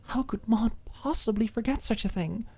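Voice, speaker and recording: A woman reading a scripted story as an audiobook narrator, in an expressive ASMR storytelling style. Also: a little high, moderate pace, slightly rough.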